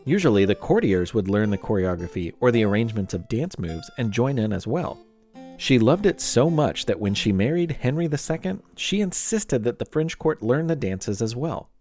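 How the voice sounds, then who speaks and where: A man's voice: medium pitch, very animated, moderate pace, darkish tone, soft background music. A man narrating scripted history as a podcast host, with playful, wry asides.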